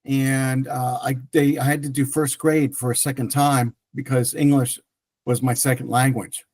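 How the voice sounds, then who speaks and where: A man's voice: mid-range voice, little pitch movement, normal speed, a little mellow, dry recording. A middle-aged man whose first language is French, telling his career story as an interview guest with many restarts and fillers. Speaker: guest, tech entrepreneur.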